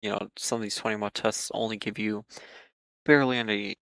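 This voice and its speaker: A man hosting market commentary for viewers, speaking in a measured, cautious way with frequent ums and repeated words while losing his voice. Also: on the low side, fairly even intonation, vocal fry, fairly bright tone, no echo, rough recording. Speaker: market analysis host.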